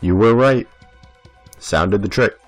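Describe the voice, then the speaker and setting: A man acting a dutiful, formal security officer in an animated drama, speaking like an official announcer. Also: on the low side, slow pace, faint music behind.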